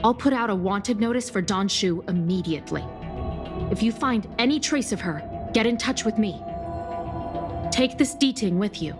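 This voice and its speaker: An actress playing a brisk, helpful woman official in a video game, in a businesslike manner over music. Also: medium pitch, lively intonation, lightly acted. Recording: music under the voice.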